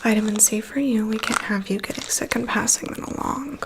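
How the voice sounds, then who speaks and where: A woman's voice: a little high, natural voice. Woman playing a whispering, gossipy vampire's assistant. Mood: matter-of-fact, caring. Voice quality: soft, breathy whisper. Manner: confiding, gossipy, unhurried. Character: chatty, gossipy, weary female assistant to a vampire. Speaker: ASMR performer. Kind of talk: ASMR personal attention roleplay.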